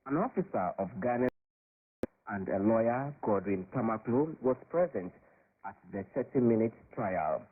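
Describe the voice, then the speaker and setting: A man reading a scripted news report in a measured, formal way. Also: lowish voice, expressive, husky. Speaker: radio reporter. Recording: tinny shortwave radio.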